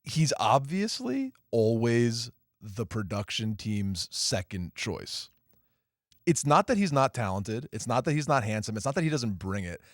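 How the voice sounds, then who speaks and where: A man's voice: mid-range voice, big pitch swings, measured pace, no room sound, studio quality. Wry, quippy male podcast host. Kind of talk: casual movie review chat.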